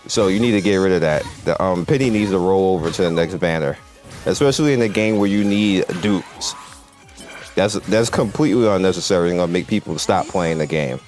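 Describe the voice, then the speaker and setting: A man's voice: low-pitched, brisk, darkish tone. A man hosting a gaming channel, giving casual, off-the-cuff commentary in a blunt, opinionated way over gameplay. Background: game music.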